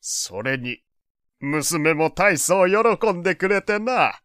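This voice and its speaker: A man's voice: high voice, lively intonation, slow pace, a bit bright, no room sound, clean studio sound, heavily acted. An actor playing a proud, doting, wealthy old father who talks in pompous old-fashioned speech in an audio drama. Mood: boastful, pleased. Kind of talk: Japanese audio drama acting.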